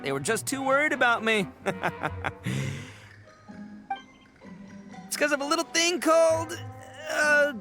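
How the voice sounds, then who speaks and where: A man's voice: extremely high pitch, lively intonation, moderate pace, bright, forceful tone, faint music behind, heavily acted. A man voicing a brash, boastful young oni gang boss in a video game, with cocky swagger and stammering bluster. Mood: flustered bluffing. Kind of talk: video game character acting.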